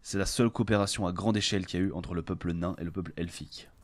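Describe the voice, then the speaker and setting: A man's voice: low voice, fairly even intonation, very fast. A man playing a bold, self-assured adventurer in a fantasy audio drama, speaking assertively. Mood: grave storytelling. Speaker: voice actor in an amateur audio fiction. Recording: clear background noise and ambience.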